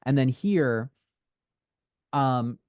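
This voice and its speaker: A man working as a dialogue writing coach, talking casually one-on-one and thinking aloud in halting, hedged phrases. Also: mid-range voice, darkish tone, smooth, no echo, highs cut off.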